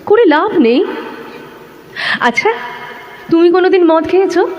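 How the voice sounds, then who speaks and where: A woman's voice: very high voice, expressive, a little slow, darkish tone, big-room sound. An actress playing a bold, teasing married woman, playful and forward, in an audio drama. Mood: curt, resigned. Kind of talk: Bengali audio drama acting. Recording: slightly noisy recording.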